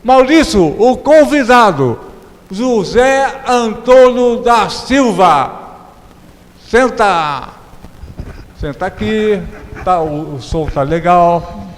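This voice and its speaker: A man with a Brazilian accent and a notably high voice hosts a conversational interview. He speaks slowly and playfully, with emphatic, drawn-out phrases. The sound is very reverberant, like a large hall. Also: expressive. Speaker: talk show host. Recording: reverberant hall, clear background noise.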